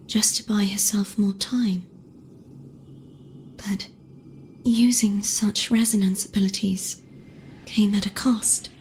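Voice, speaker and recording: A gentle young heroine of a fantasy game, speaks slowly in a fairly high, breathy voice. She sounds solemn and weary, with soft ambience and noise behind her. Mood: solemn, resigned. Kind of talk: dramatic video game dialogue.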